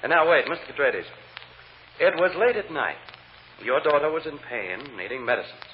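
A muffled, faintly hissy vintage radio recording of a courtroom lawyer. He probes insistently in a lively, swinging male voice, rephrasing his questions as he goes. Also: a little high. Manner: brisk questioning with hesitant uhs and rephrasing. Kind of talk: vintage radio drama acting.

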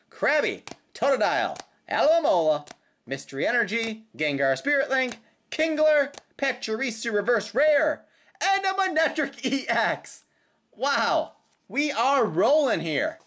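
A woman with a slightly raspy voice doing casual, playful unboxing commentary with sing-song call-outs as a host. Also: on the low side. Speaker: host of trading card pack openings. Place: indoors at a tabletop.

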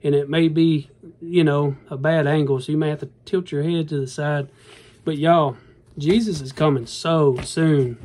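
Man in his thirties, slightly high voice, rambling folksy phone-camera vlogger. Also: fairly even intonation, normal speed, darkish tone. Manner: rambling, earnest, folksy, addresses viewers as y'all. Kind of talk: casual talk to camera. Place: indoor bedroom. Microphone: phone camera at arm's length.

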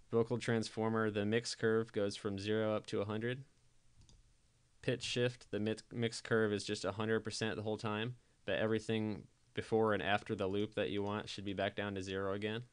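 Clear, low-voiced man. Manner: informal step-by-step explaining. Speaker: tutorial presenter. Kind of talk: casual software tutorial voice-over.

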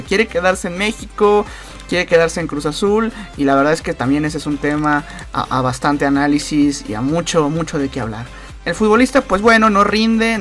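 A man's voice: a little high, a bit bright. A man giving casual soccer news commentary as a channel host, rambling with many fillers and repeated phrases. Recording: voice-over with faint background music.

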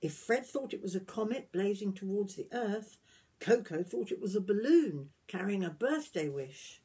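A woman in her sixties reads a picture book aloud as a granny would to young children, adding gentle questions and asides to them. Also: medium pitch, expressive, normal speed, breathy edge. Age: 60s. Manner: gentle questioning, addressing young listeners directly. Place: small wood-panelled garden room, indoors.

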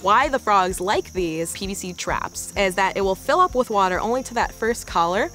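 A woman's voice: medium pitch, expressive, moderate pace, fairly bright tone, soft background music. A young woman working as a field researcher, explaining procedures in a documentary interview.

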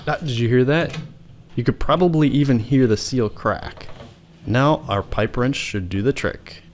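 A man's voice: medium pitch, unhurried, a little hiss or hum. A man hosting a home repair tutorial, talking plainly step by step as he explains the work. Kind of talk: how-to narration and muttering while working.

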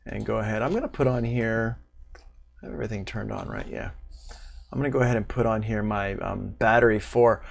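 A man in his thirties giving a casual, unscripted software tutorial as an instructor, thinking aloud as he goes. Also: lowish voice, fairly quick, creaky. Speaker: online music production instructor. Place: small room at home, indoors, livestream.

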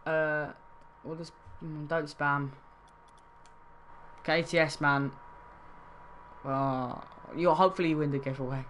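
A man's voice: fairly high pitch, fairly even intonation, slow, pauses often, a bit bright, slight background noise. Chatty young male game streamer. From Wales. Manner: casual, chatty, reads chat aloud. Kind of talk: live game-trading stream chat.

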